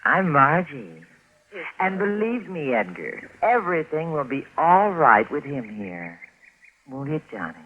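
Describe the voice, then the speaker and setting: An actress playing a scheming, flirtatious and greedy niece, coy and catty, in a 1950s radio drama. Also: female voice, deep voice, very animated, measured pace, full character voice. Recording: narrow, muffled old radio broadcast.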